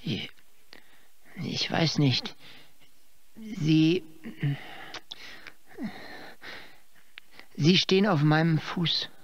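A man's voice: fairly high pitch, takes it slowly, muffled recording. An actor playing a shy, deferential male attendant in a radio drama, hesitant and polite. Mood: nervous, hesitant. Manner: hesitant, polite, soft-spoken.

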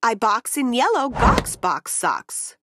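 Woman reading rhymes, very lively pitch. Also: a little hiss or hum. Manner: expressive, deliberate storytelling for children. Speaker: children's book reader. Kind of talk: read-along picture book narration, rhyming verse.

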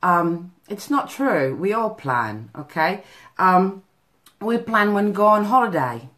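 A woman's voice: mid-range voice, very animated, slow pace, a bit bright. A woman, coaching live over a phone camera in a small office, chatty, with many ums and "okay?" tags. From Portugal. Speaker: business coach. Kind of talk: live video talk to a group. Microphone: phone camera at arm's length.

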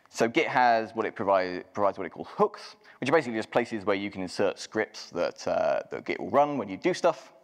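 A man with a fairly low voice walks an audience through a technical talk on stage. He speaks casually, with frequent ums and self-corrections. His voice carries the long reverb of a large hall. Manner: casual, many ums and restarts. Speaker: conference speaker. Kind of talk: live technical walkthrough.

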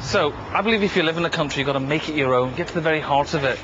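A Scottish man with a slightly high voice is stopping strangers on a busy city street, quick-witted and teasing. Traffic rumbles loudly behind him, and the recording sounds a little dull and muffled. He asks questions with playful mischief. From Scotland. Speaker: TV presenter interviewing people on the street.

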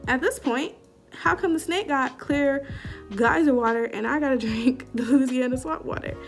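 A young woman with a somewhat high voice tells a story straight to the camera in a small room, in a loose, slangy way. She acts out what people said as she goes. Faint music plays underneath. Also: normal speed. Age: young adult. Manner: animated, slangy storytelling with acted-out quotes. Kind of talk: casual storytime vlog. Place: small indoor room before a curtain. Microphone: webcam or phone at arm's length.

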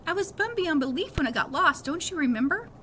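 A middle-aged woman voicing an earnest, indignant fifth-grade boy from a storybook in an animated, emphatic way. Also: extremely high pitch, fairly quick, slight background noise, voice pushed up, full character voice.

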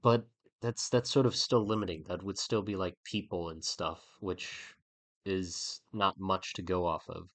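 A man's voice: low voice, steady tone, measured pace, fairly bright tone, dry recording. Stuffy-nosed man thinking aloud while drawing. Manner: rambling, stuttering restarts, thinking aloud. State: stuffy nose, a bit nasal. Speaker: artist streaming a creature design session. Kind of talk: casual livestream talk while drawing.

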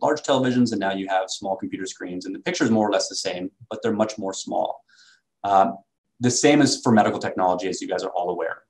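A man with a fairly low voice presents on behalf of a medical device company. He talks through slides in a sales presentation style, speaking from an indoor video call setup. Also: darkish tone, poor audio.

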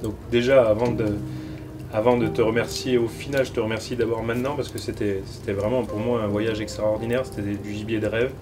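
A man's voice: on the low side, flat delivery, brisk, recorded from a distance, background noise. A man in his forties from France, host of a hunting show, chatting casually in a small wooden cabin with many fillers and restarts. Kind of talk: casual sit-down chat. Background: loud background music.

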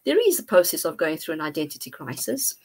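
A middle-aged woman, a guest researcher, explaining her findings thoughtfully on a video call from her home study, with frequent pauses and fillers. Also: deep voice, very animated, a little hiss or hum. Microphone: earphone mic on video call.

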